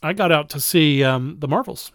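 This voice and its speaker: A man's voice: fairly high pitch, normal speed, a bit bright, dry recording, clean studio sound. A man co-hosting a podcast, chatting casually about films and repeating phrases as he thinks. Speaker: podcast host. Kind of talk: casual chat, informal film reviewing.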